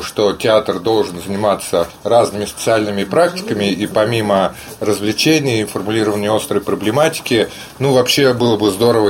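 A man addresses an audience with an introductory speech. His voice is fairly low and his delivery measured and reflective. Faint noise fills the room. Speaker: theatre director and course leader.

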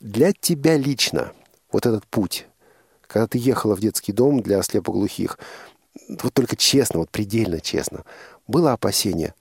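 Male radio host, low and gravelly, earnest and emphatic, thinking aloud in a close, dry sound. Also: animated, a little mellow, no room sound. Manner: earnest, emphatic, halting with repetitions. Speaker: radio host interviewing a guest. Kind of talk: reflective radio interview.

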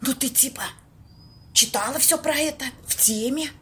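A woman acting a bossy, streetwise young woman in an amateur audio play, husky, slangy and blunt. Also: high voice, a bit bright, a little hiss or hum. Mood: hushed, nervous. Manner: slangy, blunt, drawn-out exclamations.

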